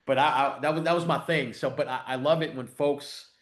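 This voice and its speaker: A man's voice: mid-range voice, fairly even intonation, fairly quick, fairly bright tone. A man hosting a podcast, chatting in a laid-back way and often adding "man" and "right". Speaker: podcast host. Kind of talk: casual interview chat.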